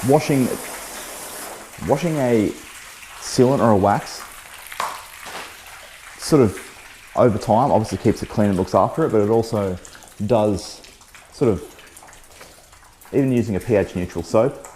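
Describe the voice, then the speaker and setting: A man hosting a car detailing vlog, talking casually about a product test while losing his voice, a little hoarse, with plenty of "um" and "so yeah". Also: lowish voice, unhurried, long pauses, a little hiss or hum, poor audio. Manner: relaxed, chatty, frequent "um" and "so yeah". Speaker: car detailing channel host. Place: outdoors beside a test panel.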